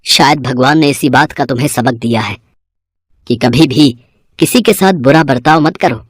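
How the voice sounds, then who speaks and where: An actor playing a kindly, concerned, devout father in a cartoon moral story, gentle and expressive. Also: mid-range voice, normal speed, no echo, clean studio sound, natural voice. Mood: admonishing.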